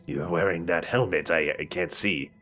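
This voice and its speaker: Bright, forceful low-voiced man bantering. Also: moderate pace. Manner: jokey, swearing, chatty. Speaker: let's play commentator. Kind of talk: casual gameplay commentary with banter. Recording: music behind the voice. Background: game music.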